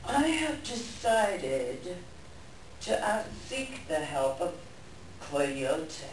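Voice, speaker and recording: Woman doing a solemn animal leader, slow n commanding, big echo, far from the mic. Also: sits low, sing-song, exaggerated voice. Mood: solemn, firm. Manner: deliberate, authoritative. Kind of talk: reader's theater performance. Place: indoor library reading, distant mic. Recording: very echoey room, distant, faint noise.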